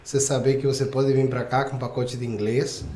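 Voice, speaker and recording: A man's voice: mid-range voice, flat delivery, fairly quick, mellow, reverberant. A male host, upbeat and promotional, speaking straight to the viewers. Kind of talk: casual interview chat.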